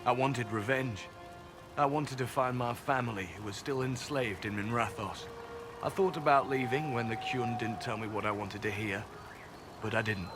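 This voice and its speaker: A man's voice: medium pitch, fairly even intonation, moderate pace, music in the background, natural voice. A man playing a guarded elf spy and former slave in a video game, speaking dryly and earnestly. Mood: earnest, reflective. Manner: dry, matter-of-fact, quietly earnest. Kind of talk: video game cutscene acting. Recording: game capture with loud ambient background noise.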